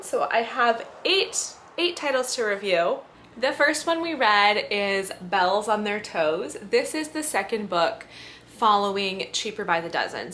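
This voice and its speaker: A woman's voice: mid-range voice, sharp tone, a little hiss or hum. A middle-aged woman chatting casually and explaining things to camera, in the style of a home vlog. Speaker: homeschooling mother and vlogger.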